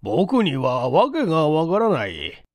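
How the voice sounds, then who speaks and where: A man reading aloud as a weary, wry senior chief investigator in an audiobook, unhurried and casually blunt. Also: a little high, huge pitch swings, dry recording, exaggerated voice. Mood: fed up.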